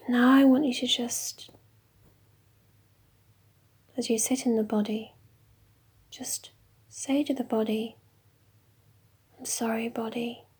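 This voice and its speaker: A woman leading a guided meditation in a slow, soft, mostly whispered voice. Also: on the high side, steady tone, breathy edge.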